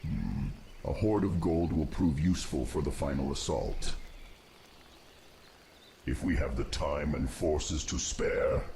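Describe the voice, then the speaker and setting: A man's voice: very deep voice, expressive, takes it slowly, gravelly, long pauses, darkish tone, slight background noise, full character voice. A man playing a stern, disciplined undead commander who speaks formally and with measure in a video game cutscene. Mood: dutiful. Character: grim, disciplined undead male commander of the Scourge.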